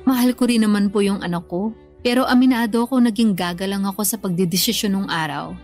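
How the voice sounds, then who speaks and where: A woman's voice: medium pitch, fast, a little mellow, soft background music, natural voice. An actress playing a respectful, repentant grown daughter and young mother with a Filipino accent in a radio drama, speaking earnestly and politely. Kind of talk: Tagalog radio drama acting.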